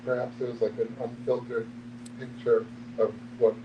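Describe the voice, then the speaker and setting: A man's voice: mid-range voice, flat delivery, normal speed. A man sharing thoughts casually and tentatively as a participant in a group discussion. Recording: dull, echoey, distant mic with noise.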